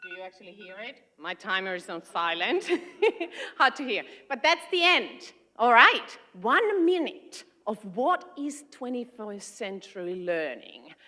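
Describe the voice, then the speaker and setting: A woman's voice: a little high, big pitch swings, a little slow, pushed and bright. A woman with a Finnish accent giving a friendly, engaging talk to a large conference audience as an education consultant. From Finland. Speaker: keynote speaker, education consultant.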